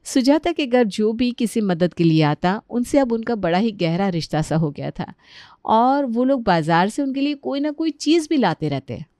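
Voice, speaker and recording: Casting: female storyteller for scripted cartoon narration, fairly high, dry close sound. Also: big pitch swings, normal speed, mellow, no room sound.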